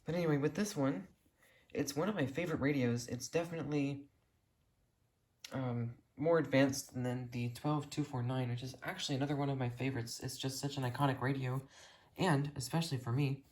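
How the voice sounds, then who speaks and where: Excited, rambling American radio hobbyist. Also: medium pitch, fairly quick. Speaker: video host showing a radio collection. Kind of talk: casual enthusiastic collection tour.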